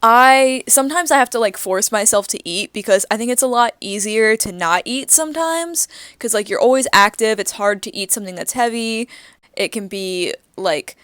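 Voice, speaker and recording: A woman's voice: on the high side, normal speed, fairly bright tone, clean tone, no room sound, clean studio sound. A woman in her twenties co-hosting a casual podcast, chatty and giggly with plenty of "like" fillers and a groggy morning voice. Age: 20s. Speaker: podcast co-host. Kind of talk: casual chat.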